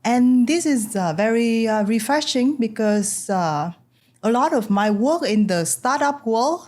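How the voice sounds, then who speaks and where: A woman's voice: fairly high pitch, lively intonation, a little slow, a little mellow. A woman from Vietnam hosting a podcast, chatting casually and conversationally with a guest. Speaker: podcast host. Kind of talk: conversational interview, casual chat.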